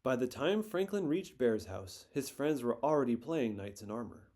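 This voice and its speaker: A man reading a children's picture book aloud in a gentle, expressive storytelling manner. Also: medium pitch, moderate pace.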